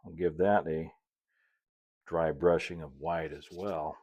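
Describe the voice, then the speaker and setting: A man's voice: very deep voice, fairly even intonation, slow, lo-fi sound. A middle-aged man narrating a hands-on hobby tutorial in a casual, folksy way with chatty asides. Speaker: model railroad builder and video host.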